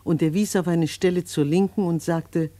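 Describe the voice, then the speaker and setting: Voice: mid-range voice, fairly even intonation, normal speed, warm tone, no room sound. A narrator reading scripted radio drama narration in a solemn, biblical cadence.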